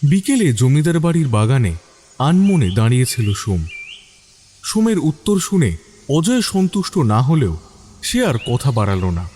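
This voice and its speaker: A man narrating a scripted mystery story as a dramatic, suspenseful storyteller with a measured delivery. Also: medium pitch, warm tone, a little hiss or hum.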